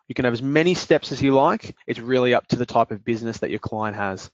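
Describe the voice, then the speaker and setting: A man demonstrating invoice software step by step in a screen-recorded walkthrough, explaining calmly with the odd "uh" and "um". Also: medium pitch, fast talker, no room sound. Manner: explanatory, steady, with fillers. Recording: dry, dull lo-fi voice-over.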